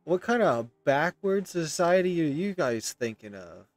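A man's voice: fairly high pitch, sing-song, a little slow, no room sound, faint music behind. A man, casually commenting on a game as he plays and repeating himself. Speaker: game streamer. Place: indoor room.

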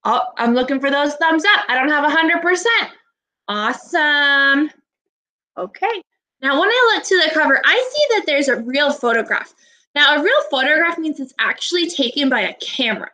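Woman, 20s, primary teacher on webcam. High-pitched, forceful delivery, clear instructions and encouragement aimed at young pupils. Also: expressive, normal speed, low-quality recording. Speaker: elementary school teacher. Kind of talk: children's read-aloud video lesson, instructional. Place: small indoor room at home. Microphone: webcam or laptop microphone, video call recording.

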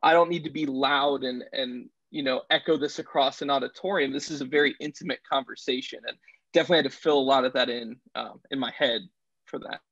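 A man's voice: medium pitch, steady tone, fast talker, fairly bright tone, no echo. A young adult man talking conversationally on a panel as a student actor. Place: small home room. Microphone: video call, earbuds. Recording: dull, lo-fi video call audio.

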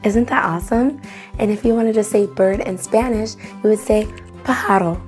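A young woman hosting storytime for children, speaking in a lively, encouraging way straight to her young audience. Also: medium pitch, normal speed, faint music behind. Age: young adult. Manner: encouraging, addresses children directly. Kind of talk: lively instruction and read-aloud for young children.

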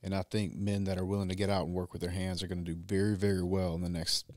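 A man, a business owner talking as a podcast guest, measured and drawn out, louder when he presses a point. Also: low-pitched, little pitch movement. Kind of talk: casual conversation. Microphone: boom mic on stand.

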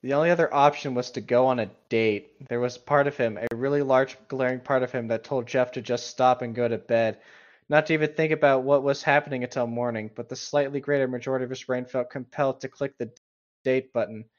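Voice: medium pitch, fairly even intonation, fairly quick. A casual, conversational co-host reads a story aloud and adds sweary, chatty commentary. The recording is slightly dull and lo-fi. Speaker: co-host reading and mocking an online horror story.

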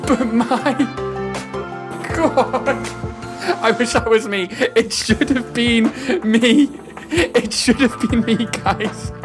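A man's voice: extremely high pitch, slow pace. A man in his thirties reacting casually to a game trailer, flabbergasted and repeating his exclamations, on a close streaming mic. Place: small home streaming room. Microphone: close desk streaming microphone with headset. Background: game trailer music.

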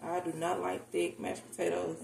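Low-pitched, creaky American woman cooking. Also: little pitch movement, moderate pace, darkish tone. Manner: candid, informal, chatty, addressing viewers. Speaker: home cooking vlogger. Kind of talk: casual chat while cooking. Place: home kitchen, indoors. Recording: roomy kitchen, noticeable background noise.

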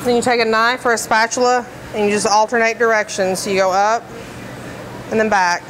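A woman's voice: on the high side, flat delivery, fairly quick, noisy background. A woman bakery trainer explaining decorating steps in plain, practical terms, with frequent fillers. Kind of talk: instructional demonstration. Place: commercial kitchen, indoors.

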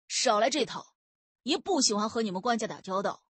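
A belligerent young gang boss acted by a woman reading an audiobook, husky and loud. He is blunt and overbearing, a burly brawler who throws his weight around. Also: fairly high pitch, animated, fairly quick, no echo. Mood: hostile.

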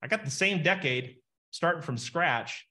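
A man in his forties, a financial planner, giving an animated webinar lesson on calculator math over a video call. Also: a little high, unhurried. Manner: animated, explains with numbers and asides. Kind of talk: webinar teaching session. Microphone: webcam video call.

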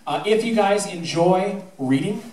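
High-voiced middle-aged man addressing a roomy theatre. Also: lively intonation, unhurried, a little mellow. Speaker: high school theatre director. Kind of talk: informal speech to an audience, with anecdotes and thank-yous. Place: theatre stage, indoors. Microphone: handheld microphone through PA, filmed from the audience. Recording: roomy, distant audience-camera recording.

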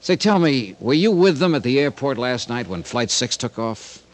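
An actor playing a wry, probing American insurance investigator in a 1950s detective radio drama, sardonic and clipped. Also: male voice, medium pitch, very animated, normal speed, natural voice. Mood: sardonic, pressing. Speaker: lead actor and narrator. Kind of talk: 1950s detective radio acting, first-person narration. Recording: old-time radio recording with music.

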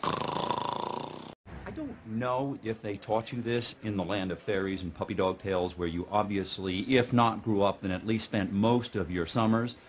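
An actor playing a sarcastic, domineering senior doctor in a sitcom, delivering mocking monologues with biting, theatrical scorn and mock sweetness. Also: male voice, lowish voice, a little slow, natural voice. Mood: condescending. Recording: faint background noise.

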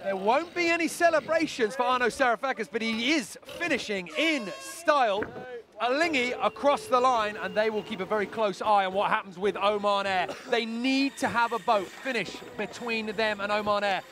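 A man giving live play-by-play commentary on a sailing race, brisk and analytical. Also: high-pitched, a crowd in the background.

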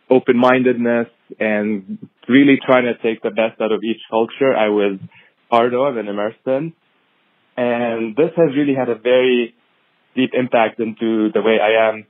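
A man in his thirties from Syria, a physician answering interview questions in a measured, thoughtful way with many pauses and ums. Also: lowish voice, no echo. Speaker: hematology-oncology fellow, podcast guest. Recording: tinny phone-like audio.

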